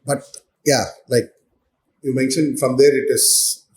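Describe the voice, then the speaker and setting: A man's voice: mid-range voice, steady tone, takes it slowly. A man, asking questions in a chatty, easygoing way as an interviewer. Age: 40s. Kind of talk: casual interview.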